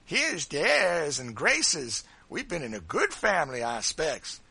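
Audiobook role: huge teasing trickster, high and forceful, voluble, broad drawling folk dialect. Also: male voice, wildly swooping pitch, a little slow, gravelly, breathy edge, dry recording, heavily acted. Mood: mocking. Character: big boisterous mocking man, voluble trickster. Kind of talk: audiobook character voice.

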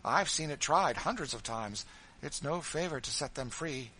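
A man's voice: animated, normal speed, a little hiss or hum, rough recording. A man putting on a petulant widow. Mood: haughty. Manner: complaining, sharp, high and raised. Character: petulant, self-pitying wealthy widow.

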